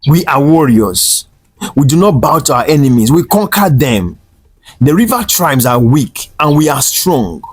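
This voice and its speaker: A man voicing an angry, proud older warrior uncle in a folktale, declaiming forcefully and scornfully. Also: a little high, very animated, measured pace, slightly rough, voice pushed up, full character voice. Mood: cold defiant. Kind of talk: dramatic folktale character voice. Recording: roomy echo over faint music.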